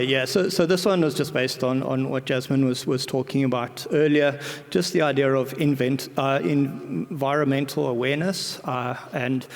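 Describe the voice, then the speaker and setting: Male presenter, gravelly edge, casual and self-deprecating, stumbles and restarts, echoing hall sound. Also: on the high side. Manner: hesitant, often repeats words and backtracks. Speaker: conference speaker. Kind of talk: informal conference presentation. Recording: reverberant hall recording.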